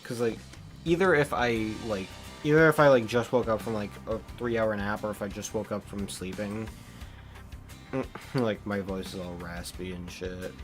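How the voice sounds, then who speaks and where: A man's voice: lowish voice, fairly even intonation, moderate pace, soft background music. Stammering young man streaming a game. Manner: stammering, restarts, frequent laughter, translating game lines. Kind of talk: casual live-stream commentary.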